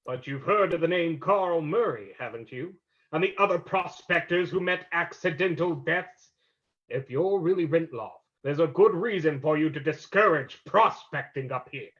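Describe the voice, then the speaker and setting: A man playing a composed, shrewd amateur sleuth in an old-time radio drama, commanding and deliberate, on a lo-fi recording. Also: fairly high pitch, lively intonation, a bit bright. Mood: accusing, taunting. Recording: dull lo-fi recording.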